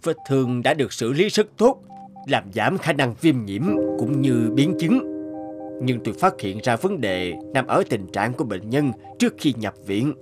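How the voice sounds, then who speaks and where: An actor playing a calm, authoritative middle-aged senior doctor in a cartoon, measured and clinical. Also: male voice, mid-range voice, over music, lightly acted. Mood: calm, serious. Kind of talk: animated cartoon voice acting.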